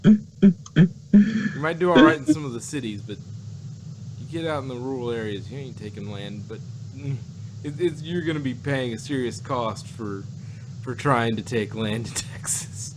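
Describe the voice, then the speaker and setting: A man's voice: medium pitch, sing-song, normal speed, noisy background. A man in casual, speculative chat, rambling and repeating phrases as he thinks aloud.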